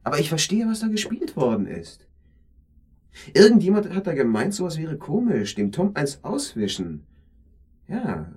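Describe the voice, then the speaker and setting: An irritable man who feels set up and bristles with sarcasm. His husky voice swings widely in pitch as he blusters. The style is dramatic German radio play dialogue. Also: a little mellow. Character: irritable, defensive, sarcastic brother feeling set up.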